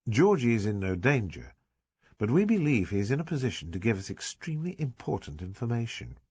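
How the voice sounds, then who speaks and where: A man's voice: mid-range voice, sing-song, a little fast, darkish tone, breathy edge, dry recording. A man voicing a patient police detective, coaxing a child with friendly persuasion. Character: affable Scotland Yard detective, coaxing and patient.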